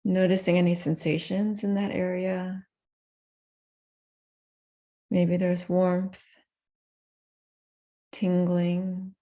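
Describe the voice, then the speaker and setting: A woman leading a guided meditation in a gentle, unhurried manner with soft pauses between phrases. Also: fairly low pitch, level tone.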